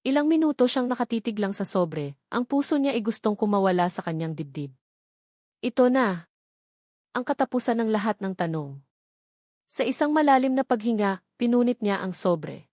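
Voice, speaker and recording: A woman reading a scripted romantic drama story as narrator in a steady, even manner. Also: mid-range voice, moderate pace, long pauses, no echo, studio quality.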